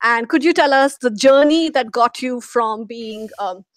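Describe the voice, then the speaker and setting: A young woman with an Indian accent, moderating a live online question-and-answer session, speaking in a measured way with small fillers. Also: fairly high pitch, dry recording, clean studio sound. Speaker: moderator, program manager. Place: office.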